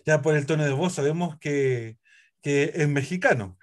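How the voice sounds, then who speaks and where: A man with a Chilean accent, a priest hosting a relaxed faith talk show, chatty and prone to trailing off and restarting. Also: fairly high pitch, normal speed. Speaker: priest and host. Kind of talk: casual radio chat.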